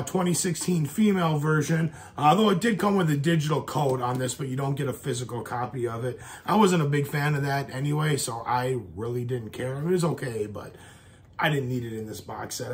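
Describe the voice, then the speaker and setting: A man, a little hoarse from a cold, chatting casually as he shows off his collection on his channel, rambling and full of fillers. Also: a little high, slight background noise. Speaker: YouTube collector vlogger. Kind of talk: casual show-and-tell vlog.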